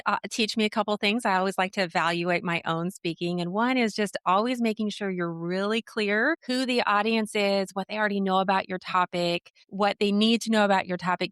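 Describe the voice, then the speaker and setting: A woman's voice: medium pitch, fairly bright tone, dry recording. Articulate American woman in a dry, close recording. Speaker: guest communication coach. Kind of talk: podcast interview, conversational explaining.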